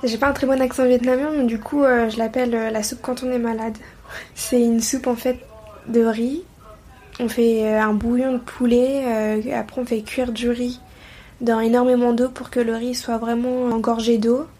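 A woman guest on a podcast giving a casual personal account, with hesitant pauses. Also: on the high side, level tone, mellow.

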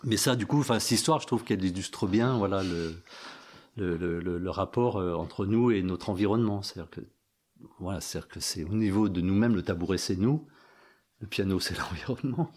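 Low-ish french guy musing in a group chat, lots of um and restarts. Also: a little fast, a little mellow. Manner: hesitant, many restarts and fillers. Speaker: participant in a group discussion. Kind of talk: casual reflective talk.

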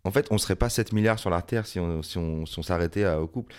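A man's voice: low-pitched, quick pace, clean tone. A man in a casual podcast chat, emphatic and joking as he lays out his views.

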